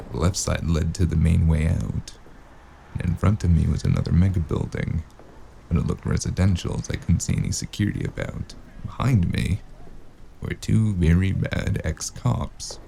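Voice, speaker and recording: A man playing a weary, sardonic investigator in a scripted cyberpunk noir audio drama, telling his story in a gravelly, cursing voice. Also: sits low, dark tone. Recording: music bed behind the voice.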